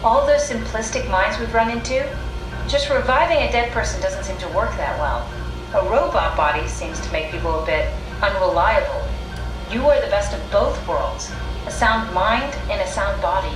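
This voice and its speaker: A woman's voice: mid-range voice, normal speed, noisy background, close to an everyday voice. An actress playing a curious, pragmatic woman scientist in a video game, talking in an analytical, matter-of-fact, conversational way. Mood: analytical, reassuring. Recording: echoey room sound with music behind.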